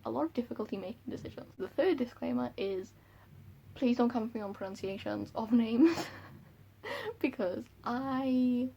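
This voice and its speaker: A woman's voice: on the high side, expressive, normal speed, gravelly, dark tone, muffled recording, a little hiss or hum. A young woman with a Welsh accent chatting to camera about books at home, giggly and self-deprecating. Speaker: book vlogger. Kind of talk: casual chat to camera. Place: room at home by a window.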